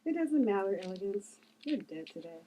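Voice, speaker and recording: A woman's voice: medium pitch, big pitch swings, warm tone, a little hiss or hum, close to an everyday voice. A woman acting a gloating assassin in a script table read, taunting and mocking as she relishes her threats. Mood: cold threat. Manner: mocking, taunting, drawn-out delivery.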